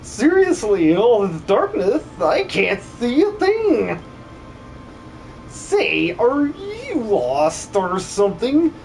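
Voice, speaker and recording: A man's voice: extremely high pitch, wildly swooping pitch, takes it slowly, gravelly, a little mellow, faint music behind, heavily acted. A man voicing a flamboyant, doting tunnel dweller in an amateur game dub, with a camp, affectionate drawl. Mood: curious, coaxing. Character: flamboyant, theatrical, doting older sibling lost-tunnel dweller.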